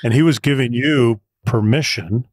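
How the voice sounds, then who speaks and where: A man's voice: medium pitch, moderate pace, darkish tone, breathy edge, dry recording, clean studio sound. A man hosting a podcast interview, asking probing, affirming questions. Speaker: podcast host.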